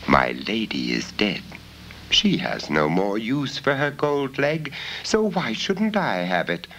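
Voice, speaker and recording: A man's voice: fairly low pitch, very animated, takes it slowly, a bit bright, a little hiss or hum, heavily acted. A man voicing a conceited, greedy young valet with a preening, haughty manner in a storybook reading. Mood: smug scheming.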